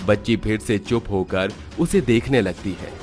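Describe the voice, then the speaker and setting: A man's voice: on the low side, unhurried, a little mellow, soft background music. A man narrating a scripted Hindi cartoon story as its storyteller.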